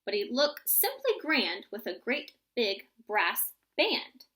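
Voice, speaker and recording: Woman reading as bright, imaginative young storybook boy. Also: a little high, sing-song, takes it slowly, full character voice. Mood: musing, excited. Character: imaginative young boy, excitable, inventing tall tales.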